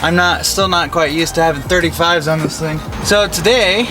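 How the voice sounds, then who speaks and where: A man's voice: fairly high pitch, normal speed, over music, rough recording. A young American man chatting casually and in laid-back slang as he works on his truck, recorded inside the cab by a camera a little way off. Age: young adult. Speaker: vlogger doing a truck DIY install. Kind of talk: casual vlog chat while working. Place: inside a parked pickup truck cab, door open. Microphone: camera mounted an arm's length or more away. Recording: clear outdoor road noise. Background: road and vehicle noise.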